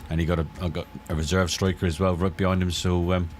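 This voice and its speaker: A man's voice: sits low, little pitch movement, fast, a little hiss or hum. A middle-aged or older man chatting casually as a co-host, with brief, hesitant, understated replies. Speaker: co-host, the host's father. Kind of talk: casual chat.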